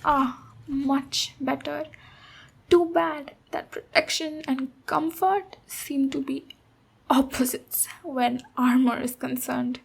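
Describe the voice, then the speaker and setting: A woman voicing a tough but protective ogre warrior in a soft-spoken, reassuring ASMR roleplay, with pauses. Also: high-pitched, creaky, exaggerated voice. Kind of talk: ASMR roleplay, speaking to the listener.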